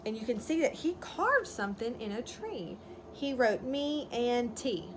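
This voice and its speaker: A woman teacher reading a picture book aloud to young children, pausing to explain words simply and ask questions. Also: fairly high pitch, very animated. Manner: instructive, explains words simply, checks understanding. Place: outdoors. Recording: clearly noisy outdoor ambience, wind chime. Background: birds chirping, wind chime.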